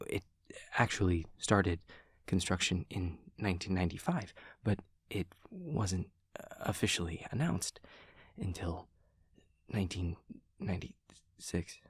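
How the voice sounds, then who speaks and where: An awkward, earnest demon classmate acted by a man speaks in a low, husky half-whisper, close and dry. He stammers hesitantly and phrases things with stiff, literal formality, anxious to comfort in an intimate audio roleplay. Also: lively intonation, unhurried, dry recording, heavily acted. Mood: nervous, obliging. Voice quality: soft, breathy, husky.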